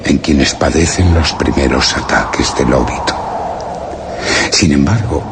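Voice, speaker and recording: An actor playing a solemn, anxious chronicler in a radio horror drama, grave and formally literary, his phrase endings turning breathy. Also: a bass voice, unhurried, very noisy. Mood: solemn unease. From Spain. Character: solemn, anxious male chronicler of a mysterious encounter. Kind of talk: radio horror drama, first-person narration of a written account. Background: music.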